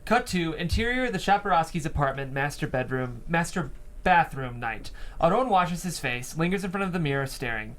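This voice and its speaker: Higher-pitched man narrating a script. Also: expressive, moderate pace, fairly bright tone. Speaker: stage-direction narrator. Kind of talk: scripted narration at a table read.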